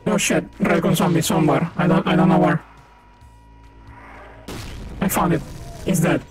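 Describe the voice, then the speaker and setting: A man's voice: fairly high pitch, steady tone, moderate pace. A man with a Venezuelan accent from Maracaibo, a native Spanish speaker, chatting casually while streaming a shooter game with a friend, laughing often and calling out enemies. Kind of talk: casual co-op gaming chat. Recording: game music playing loudly behind. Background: game music.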